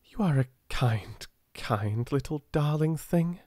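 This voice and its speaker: A man's voice: fairly high pitch, expressive, slow pace, warm tone, no echo, studio quality, voice pushed up. A British man voicing a proud, poor young girl in a storybook, polite, tender and well-bred. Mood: tender gratitude.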